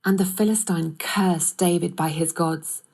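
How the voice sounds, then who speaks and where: A woman's voice: on the low side, steady tone, a little slow, creaky, darkish tone. A woman narrating a scripture story from a script.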